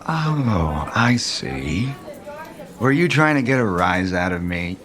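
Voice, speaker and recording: An actor playing a cold, embittered husband and developer in an audio soap opera, dry, haughty and sarcastic. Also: male voice, lowish voice, very animated, slow, fairly bright tone, full character voice. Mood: haughty sparring. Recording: prominent music bed behind.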